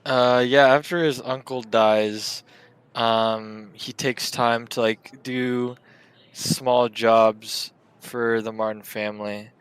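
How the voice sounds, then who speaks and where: A man with a clear, smooth voice casually narrates as a tabletop game player. His delivery stays flat and even. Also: mid-range voice, slow, dry recording, poor audio. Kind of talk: casual storytelling.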